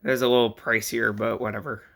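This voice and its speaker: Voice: mid-range voice, flat delivery, fast talker, creaky, a bit bright. A vlogger with an American accent chatting casually and unscripted in a bedroom, jokey, rambling and easily sidetracked while showing off a collection. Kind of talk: casual unscripted vlog chat. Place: indoors in a bedroom.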